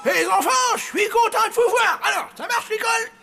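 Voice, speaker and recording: A man's voice: very high-pitched, fairly quick, vocal fry. A man voicing a blustering, forgetful old zombie grandfather in a children's cartoon. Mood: jovial, boastful. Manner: blustering, exclamatory. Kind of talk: French children's cartoon acting. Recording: loud music behind.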